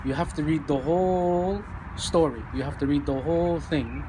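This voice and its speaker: A man's voice: fairly high pitch, normal speed, background noise. A man from Egypt giving earnest interview answers, explaining his points and repeating them for emphasis.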